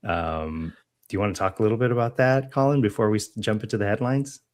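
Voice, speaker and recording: Low-voiced man, halting podcast host. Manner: hesitant, restarts, stumbles over names. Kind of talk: casual podcast chat.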